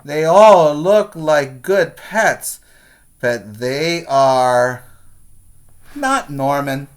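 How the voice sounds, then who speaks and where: A man's voice: a little high, very animated, very slow. A man teaching preschoolers, speaking in an instructive, question-and-answer way as he reads aloud and guides young children.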